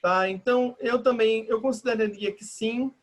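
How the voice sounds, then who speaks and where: A man's voice: sits high, steady tone, moderate pace. A Brazilian man, an education advisor, adding informal advice to a workshop on a video call. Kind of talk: online workshop commentary.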